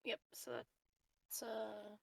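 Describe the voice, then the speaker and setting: A woman's voice: medium pitch, expressive, extremely slow, creaky, a bit bright, no room sound. A woman talking casually as a player in a tabletop game, somewhat away from the microphone.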